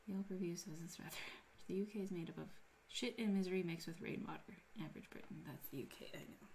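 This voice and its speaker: A woman's voice: fairly low pitch, fast, vocal fry, darkish tone, slight background noise. A woman casually chatting with her live-stream audience while crafting, playful and teasing as she reads chat. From United States.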